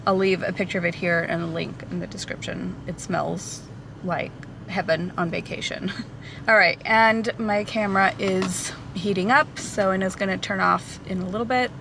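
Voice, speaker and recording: A woman's voice: fairly low pitch, fairly even intonation, normal speed, gravelly, noisy background. A woman in her thirties, a hairstylist vlogging a casual Q&A, talking loosely with many ums and likes on a camera mic. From Portugal. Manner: chatty, many ums and likes, laughs lightly. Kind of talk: casual Q&A vlog. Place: out at a café or in a car.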